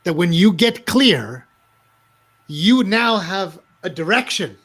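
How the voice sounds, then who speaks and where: Male talk-show host, emphatic and lively, slightly high voice. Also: slow. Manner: emphatic, animated affirmations. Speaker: host and clarity coach. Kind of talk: live-stream talk show hosting.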